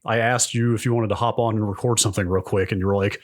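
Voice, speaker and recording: Guy, voice a bit on the low side, co-hosting a podcast, dry teasing banter. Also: fairly even intonation, fast. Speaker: podcast co-host. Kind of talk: casual banter.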